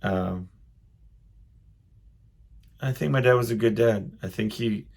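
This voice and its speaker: Creaky-voiced middle-aged man, rambling thoughtfully. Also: fairly low pitch. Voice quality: loud voice. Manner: rambling, halting, thinking aloud. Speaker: podcast host. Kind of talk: casual solo monologue, personal reflection.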